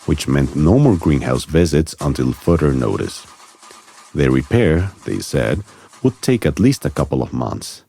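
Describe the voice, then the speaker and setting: A man narrating a children's story from a script in an expressive storytelling manner. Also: rumbling low, dry recording.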